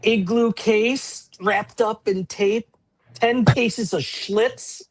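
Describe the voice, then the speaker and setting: A man's voice: high voice, steady tone, extremely slow, no room sound, low-quality recording. A man co-hosting a podcast in casual, conversational chat. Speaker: podcast co-host.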